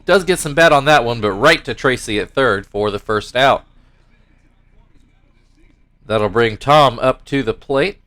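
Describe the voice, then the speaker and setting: A man's voice: medium pitch, measured pace, pauses often, a bit bright. A young man with a stuffy nose, casually commentating his own baseball video game with rambling asides and play-by-play calls. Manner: rambling and self-correcting, mock play-by-play calls.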